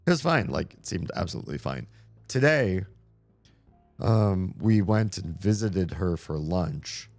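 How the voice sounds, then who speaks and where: A man's voice: sits low, expressive, measured pace, a little mellow, soft background music. A man in his thirties, a streamer chatting casually while playing a game, rambling with lots of fillers as he loses his voice. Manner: rambling, easygoing, many fillers. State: losing his voice. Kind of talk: casual game livestream chat.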